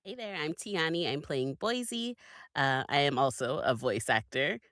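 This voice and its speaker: A woman's voice: sits low, animated, a little slow, fairly bright tone, no echo, studio quality. A woman chatting casually as a guest on a voice actor panel. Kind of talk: casual self-introduction and chat.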